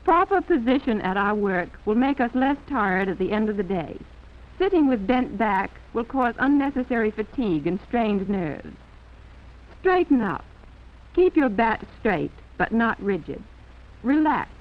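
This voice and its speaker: A thin, band-limited 1940s film soundtrack carries a fairly high-pitched American woman's voice. She narrates a training film from a script, formal and instructive throughout. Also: sing-song, unhurried, narrow, tinny audio.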